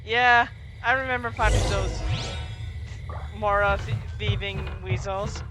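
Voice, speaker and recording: A game streamer talks casually over gameplay, often stopping to correct a word. The delivery is off-the-cuff, with clear game music behind the voice. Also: mid-range voice, fairly even intonation, a little slow.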